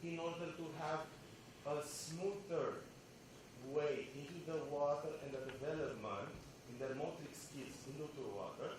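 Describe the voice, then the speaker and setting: A man in the audience asking a long, rambling question full of fillers. He is picked up from far off in a very echoey hall, with a clear hiss of noise behind him. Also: a little high. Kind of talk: conference question from the floor. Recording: distant room mic in echoey hall.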